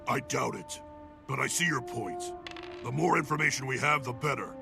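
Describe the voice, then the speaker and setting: A stern proud army officer in a fantasy game, gravelly, commanding, music under it. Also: male voice, medium pitch, moderate pace. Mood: grim, resolute. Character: stern, proud military officer of a dragon-fighting order. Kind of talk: fantasy video game voice acting.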